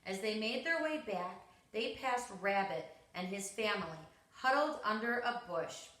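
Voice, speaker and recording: A woman's voice: medium pitch, lively intonation, a little slow, a bit bright. A woman reading a children's story aloud, expressively and with dramatic emphasis. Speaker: storybook reader. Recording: roomy, echoing home recording.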